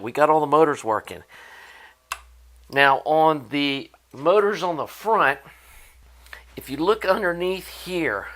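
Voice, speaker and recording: A man's voice: a little high, a bit bright. A man giving an informal, hands-on repair walkthrough in an unscripted, conversational way, like a hobbyist presenter.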